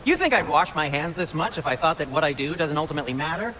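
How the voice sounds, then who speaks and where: A fussy dwarf blacksmith from a video game, chatty and sardonic. The voice is sharp and a bit high, swinging in pitch with prissy wryness. Faint noise sits behind it. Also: male voice, fast. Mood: earnest, defensive. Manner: prissy, animated, wry delivery. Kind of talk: video game cutscene acting.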